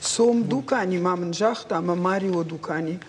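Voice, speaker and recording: A native Ossetian-speaking man with a husky voice, giving a halting, unscripted account. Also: high-pitched, slight background noise. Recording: very echoey, reverberant room.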